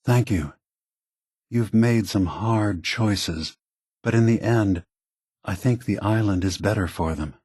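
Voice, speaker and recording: Husky, slow-spoken weary synth leader. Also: male voice, fairly low pitch, darkish tone, no echo, studio quality. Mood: weary acceptance. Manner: slow, deliberate, solemn. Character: aging synth leader, weary, grave, morally burdened. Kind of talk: scripted video game voice acting.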